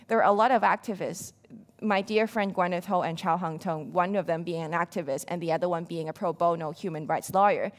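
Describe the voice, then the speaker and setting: Earnest young woman activist, low voice. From Hong Kong. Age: young adult. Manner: earnest, measured, steady. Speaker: panelist, activist. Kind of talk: prepared panel speech. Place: dark stage, seated at a small table.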